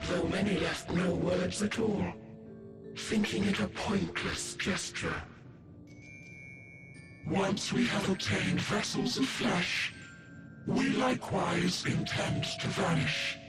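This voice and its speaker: A bleak alien being from a fantasy game, high in pitch and very measured. It speaks with solemn, archaic condescension, calm and superior as it preaches despair. Loud music plays behind it. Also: male voice. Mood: solemn, resigned. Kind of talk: scripted video game drama acting.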